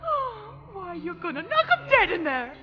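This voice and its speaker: Excitable woman, squeaky-high voice. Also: moderate pace, highs cut off. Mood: gushing, thrilled. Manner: gushing, dramatic. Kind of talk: fan audio drama acting.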